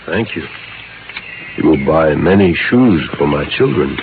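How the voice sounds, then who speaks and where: Male reluctant swamp guide: very low, formal, careful delivery, grave and humble, on a noisy vintage radio drama recording. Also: animated, measured pace, narrow, tinny audio, exaggerated voice. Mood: humble, apologetic. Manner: formal, careful phrasing without contractions. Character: soft-spoken, reluctant swamp guide and father, grave, cautious. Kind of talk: 1950s radio drama acting.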